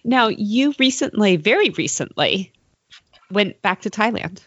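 A woman's voice: mid-range voice, big pitch swings, unhurried, breathy edge, no echo. Attentive woman host, dull dry sound. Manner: attentive, many soft affirmations. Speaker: podcast host. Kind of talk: conversational interview.

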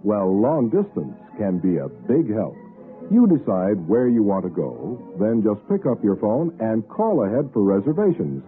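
A man's voice: lowish voice, big pitch swings, normal speed. A man reading a friendly, persuasive 1960s radio commercial. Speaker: advertisement announcer. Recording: tinny old radio broadcast. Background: faint music.

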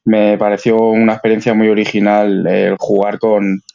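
A man's voice: fairly low pitch, little pitch movement, normal speed, creaky, dark tone. Dull-sounding Spanish man chatting casually. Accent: Spain Spanish. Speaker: guest. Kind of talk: casual chat. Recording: dull lo-fi online connection.

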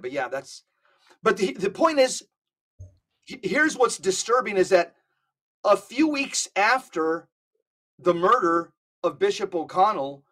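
A man's voice: high-pitched, fairly bright tone. Guest man, earnest and emphatic, stumbles and restarts as he presses his point. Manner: earnest, repeats and restarts phrases. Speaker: podcast guest. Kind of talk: emphatic conversational commentary. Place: California.

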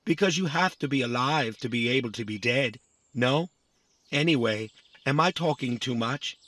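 An actor playing a naive, chatty, easily offended little robot in a children's audio drama, rambling excitably. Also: male voice, mid-range voice, normal speed, natural voice. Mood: rambling, excited. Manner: rambling, excitable, adds tag questions.

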